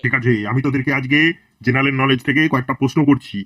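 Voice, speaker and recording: Cartoon role: a sly teacher played by a man; quick, sugary, muffled lo-fi recording. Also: medium pitch, little pitch movement, a bit bright, lightly acted. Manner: sweet-talking, mock-kind. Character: sly schoolteacher who hands out beatings. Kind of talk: cartoon voice acting.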